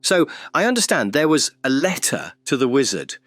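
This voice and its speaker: Man as an animated inquisitive documentary interviewer. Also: mid-range voice, moderate pace, no room sound. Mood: probing. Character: inquisitive male documentary interviewer in storybook world. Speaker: narrator and interviewer. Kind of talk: documentary-style scripted narration.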